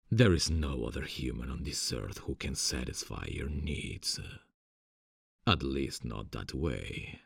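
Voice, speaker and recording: A man playing a seductive, possessive devil in an ASMR fantasy roleplay, coaxing formally in a gravelly, husky voice into a close microphone. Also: a bass voice, no echo, full character voice. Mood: amused, smug. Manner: slow, deliberate, coaxing, formal. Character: seductive, possessive male devil offering a soul contract. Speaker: voice actor. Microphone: close studio mic, dry.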